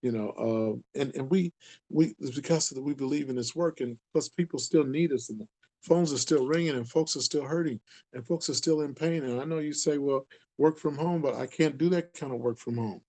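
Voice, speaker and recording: A man's voice: medium pitch, brisk, mellow. A man in his sixties from the Upper Midwest, a community outreach leader speaking casually to camera, earnest and repetitive for emphasis. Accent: Upper Midwest American. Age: 60s. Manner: earnest, rambling, repeats himself for emphasis.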